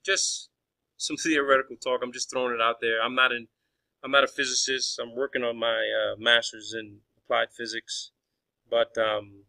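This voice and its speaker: A man with a strikingly bright, tense voice at an ordinary male pitch. He talks like a caller in an argumentative phone conversation. He is insistent, often doubling back to repeat or restart a phrase. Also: flat delivery, moderate pace, vocal fry, low-quality recording. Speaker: caller questioning a space agency official.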